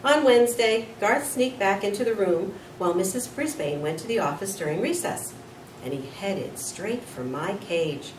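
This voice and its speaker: A woman's voice: medium pitch, normal speed. A woman reading a children's storybook aloud expressively, as a school nurse would to pupils. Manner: expressive, with small slips and corrections. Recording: roomy, distant mic with faint noise.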